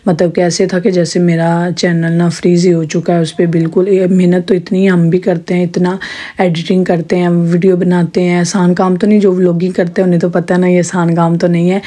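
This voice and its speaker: A woman with a sore, hoarse throat chatting in a rambling home vlog with her viewers. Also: fairly low pitch, little pitch movement, fairly quick, warm tone. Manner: chatty, rambling with many restarts. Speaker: vlogger. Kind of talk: casual home vlog narration.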